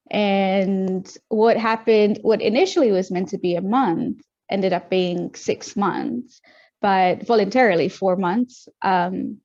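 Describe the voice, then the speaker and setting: A woman's voice: mid-range voice, darkish tone. A young woman from Tanzania, a podcast guest, talking reflectively about her life with frequent ums and restarts. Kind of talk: casual interview talk. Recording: muffled lo-fi audio.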